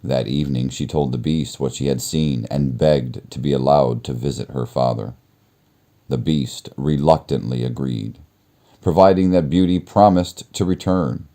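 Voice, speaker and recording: A man reading a children's story aloud as narrator, in a measured, expressive storytelling manner. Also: a bass voice. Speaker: storybook reader.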